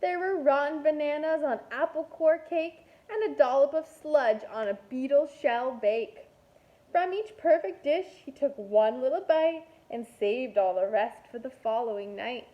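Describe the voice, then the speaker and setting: A woman reading a picture book aloud to young children like a kindergarten teacher, expressive and deliberate, with playful asides. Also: high-pitched, dull audio.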